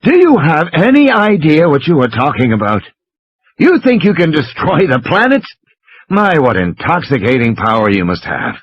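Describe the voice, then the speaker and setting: A man acts out an impassioned, exasperated male intellectual for an audiobook, lecturing with scorn. The voice is fairly high and husky, and it rises and falls dramatically with every emphatic point. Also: sing-song, normal speed, no room sound, dull audio. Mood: furious scorn. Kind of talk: dramatised audiobook character reading.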